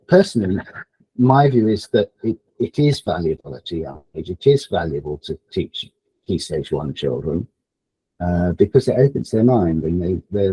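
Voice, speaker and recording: A man speaking informally at an online event for teachers, halting and repeating phrases as he thinks aloud. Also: deep voice, warm tone, breathy edge, dry recording, poor audio. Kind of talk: informal presentation, advice to teachers. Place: online event, close dry sound.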